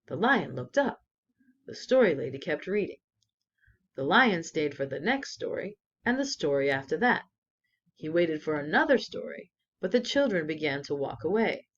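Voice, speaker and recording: Lower-voiced woman reading aloud, very animated. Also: moderate pace, muffled recording. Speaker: children's story reader. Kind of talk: expressive read-aloud storytelling.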